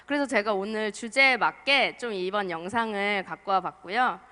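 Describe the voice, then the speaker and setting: A young woman with a cold giving a chatty conference talk as a marketer, soft-spoken with small laughs. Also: medium pitch, normal speed. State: has a cold, voice strained. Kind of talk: casual conference presentation. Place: large lecture hall. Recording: long hall reverb.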